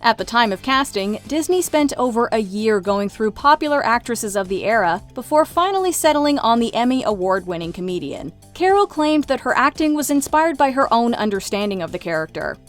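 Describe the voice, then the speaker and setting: Girl narrating a top ten list, scripted, kinda high n bouncy, light music under. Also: very animated, a little fast, few pauses. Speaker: countdown narrator.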